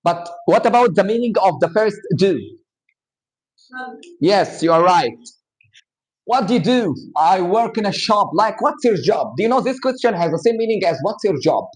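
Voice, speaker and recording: A man with an Egyptian accent, a native Arabic speaker, teaching English grammar in a live class. His voice sits high for a man, and he talks slowly and plainly, spelling out letters and rules for his students. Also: animated, rough recording. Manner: slow, explanatory, switches into Arabic to explain.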